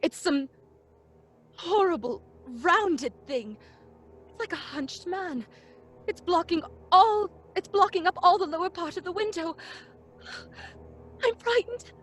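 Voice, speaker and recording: A woman's voice: very high-pitched, animated, slow pace, pauses often, faint music behind, heavily acted. An actress playing a frightened, gasping young woman at a séance in an old-fashioned radio horror drama. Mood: terrified. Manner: gasping, anxious, pleading.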